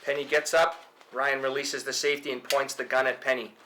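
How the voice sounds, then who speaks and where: A man's voice: medium pitch, little pitch movement, fairly quick, sharp tone, a little hiss or hum, poor audio. A man reading stage directions aloud as narration at a film table read.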